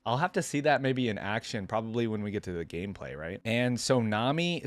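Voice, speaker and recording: A man's voice: mid-range voice, no echo. A man hosting a reaction video, casually thinking aloud and reading a story as he goes. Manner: talks to himself, thinking aloud with casual slang.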